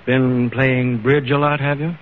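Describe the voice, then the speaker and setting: An earnest, probing husband played by a man in a vintage radio play, with a mid-pitched voice. He talks in measured, slightly hesitant phrases over a narrow, tinny broadcast sound with some noise. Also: flat delivery, lightly acted. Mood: probing, restrained. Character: neglectful businessman husband, earnest and pleading. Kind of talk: old-time radio drama acting. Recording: tinny, noisy old radio recording.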